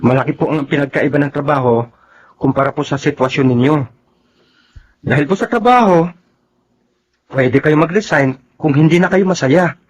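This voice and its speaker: A man's voice: on the high side, moderate pace, long pauses, lo-fi sound, lightly acted. A man acting in a Filipino radio drama as a respectful young adult son, earnest and caring.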